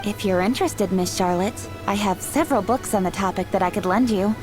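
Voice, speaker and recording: An actress voicing a polite, soft-spoken young woman in a game who explains traditions in a measured, formal way. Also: medium pitch, lightly acted. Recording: game music behind.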